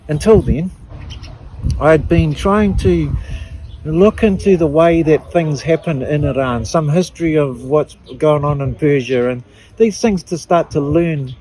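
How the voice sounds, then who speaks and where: Earnest New Zealand woman, halting speech. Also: low voice, dark tone, slight background noise, rough recording. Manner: earnest, halting, with frequent restarts. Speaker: activist interviewee. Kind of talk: emotional personal testimony.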